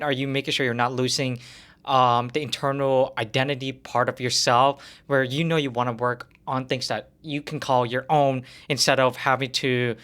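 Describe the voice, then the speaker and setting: A man's voice: mid-range voice, a bit bright. A man interviewing in an informal, conversational way, circling around and rephrasing his questions. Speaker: interviewer, podcast host.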